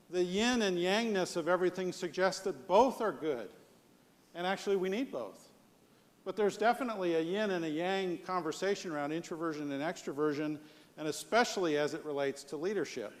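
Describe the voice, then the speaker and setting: Man, American accent, high-pitched voice, live presentation, conversational, rhetorical questioning, echoing hall. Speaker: conference speaker. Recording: long hall reverb.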